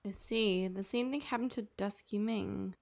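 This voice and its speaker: A woman's voice: medium pitch, normal speed, creaky, darkish tone. A woman game streamer giving casual reactions and reading along during play.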